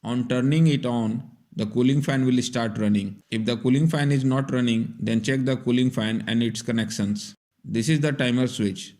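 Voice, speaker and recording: A man's voice: medium pitch, moderate pace, dark tone. A man narrating a scripted technical tutorial in a methodical, step-by-step instructional manner. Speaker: repair tutorial presenter.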